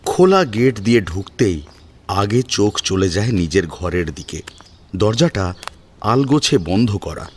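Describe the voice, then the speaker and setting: A man's voice: on the low side, slight background noise. A man reading a scripted story as a dramatic narrator. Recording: music behind the voice.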